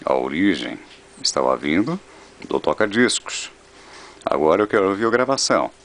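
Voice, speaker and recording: Low-voiced man. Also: very animated, moderate pace. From Brazil. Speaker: vintage audio seller presenting products. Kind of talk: product demonstration. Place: indoor room. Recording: music playing over the voice. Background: loud guitar music.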